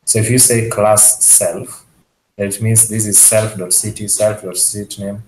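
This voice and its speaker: A man calmly explaining code to others at a meetup. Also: on the low side, measured pace, reverberant, a little hiss or hum, low-quality recording. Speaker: meetup participant.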